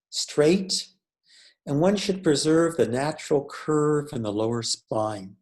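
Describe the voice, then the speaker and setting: A man leading a guided meditation as a teacher, speaking in a measured, deliberate way with pauses between phrases. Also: a little high, darkish tone.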